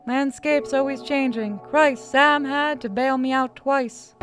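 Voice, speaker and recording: A woman voicing an evasive businessman husband in a visual novel, halting and defensive. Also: sits high, steady tone, music in the background, voice pushed up. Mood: defensive, pleading.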